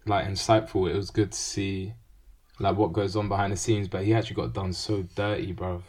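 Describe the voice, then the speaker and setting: A young man, co-hosting a music podcast in animated, slangy chat. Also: sits low, fairly quick. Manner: animated, slangy, says bruv a lot. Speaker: podcast co-host. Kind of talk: casual chat about music.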